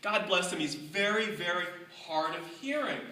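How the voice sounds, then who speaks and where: A middle-aged speaker telling a tall tale to a live audience in a deliberate, mock-scholarly way with dramatic pauses. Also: medium pitch, animated, bright, forceful tone, distant mic. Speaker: speaker giving a humorous club speech. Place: indoor room with live audience. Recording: echoey room from afar, faint noise.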